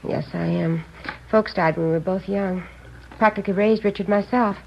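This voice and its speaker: Actress doing a worried 30s seamstress, hesitant, stammery, old radio drama sound, a bit dull and hissy. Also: female voice, medium pitch, fairly even intonation, moderate pace, creaky, close to an everyday voice. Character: anxious devoted seamstress woman, thirties. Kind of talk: 1950s radio drama acting. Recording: muffled old radio broadcast with hiss.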